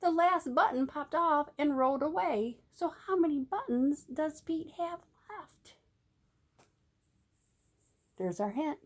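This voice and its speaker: Woman, children's read-aloud, teacherly, very slow pace, higher pitch, sing-song, drawn-out words, questioning the listener. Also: animated. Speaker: teacher reading to young children. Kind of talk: animated picture-book read-aloud.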